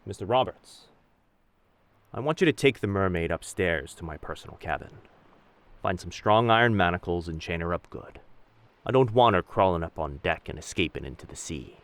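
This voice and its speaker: A low-voiced pirate captain, acted by a man for an audio drama, roguish and commanding, with old seafaring turns of phrase. The delivery swings widely in pitch, able to be both tender and menacing. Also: animated, normal speed. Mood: cool, commanding. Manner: old seafaring diction, addresses others as lass and sir. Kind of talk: roleplay audio drama, speaking to the listener.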